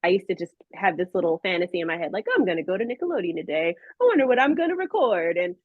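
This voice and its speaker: A woman's voice: fairly low pitch, expressive, racing, dry recording. Enthusiastic woman, dry slightly muffled sound. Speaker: guest, voice actress. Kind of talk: casual interview chat. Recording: dry, slightly dull audio.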